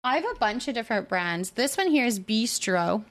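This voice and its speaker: High-voiced woman livestreamer chatting gently. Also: sing-song, moderate pace. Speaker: livestream host. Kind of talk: casual livestream chat, thanking viewers and reading their messages aloud.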